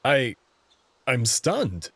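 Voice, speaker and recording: Man as a bewildered narrator, lively pitch. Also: drawn-out words, slight background noise, exaggerated voice. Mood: stunned, hesitant. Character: bewildered young human man narrating his own story. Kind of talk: first-person visual novel narration and dialogue.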